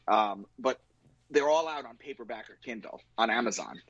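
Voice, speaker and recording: A male author talking casually as a radio guest, relaxed and conversational. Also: mid-range voice, normal speed, lo-fi sound.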